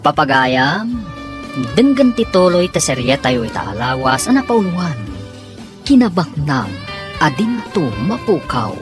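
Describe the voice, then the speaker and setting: A woman's voice: low-pitched, big pitch swings, unhurried. A woman announcing and narrating a radio drama in a formal, scripted manner. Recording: radio broadcast over theme music. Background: theme music.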